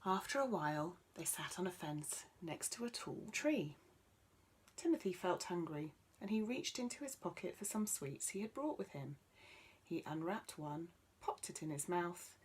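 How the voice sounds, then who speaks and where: A woman storyteller narrating a bedtime story for children in an expressive style. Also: lowish voice, a little slow, slight background noise.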